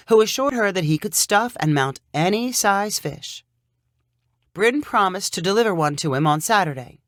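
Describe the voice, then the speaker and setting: Expressive, lower-pitched woman storyteller. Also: no echo, studio quality. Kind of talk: scripted short-story narration.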